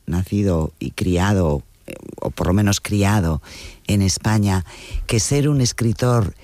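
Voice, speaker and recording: A man's voice: deep voice, mellow, dry recording. A man interviewing a writer, asking long, musing questions that often end with a tag question. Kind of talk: conversational interview.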